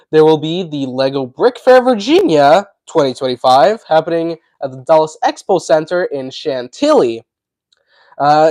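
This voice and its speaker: A man hosting a casual livestream, talking in a chatty, rambling way while congested and hoarse from a cold. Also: on the high side, darkish tone, no echo. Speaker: livestream host.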